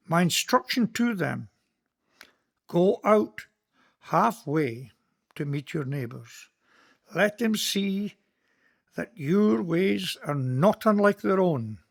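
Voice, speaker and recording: An actor with a Scottish accent playing a kindly, earnest Presbyterian minister in a community radio play, speaking in a measured, sermon-like way. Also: male voice, sits high, lively intonation, gravelly, full character voice. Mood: fond. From Scotland.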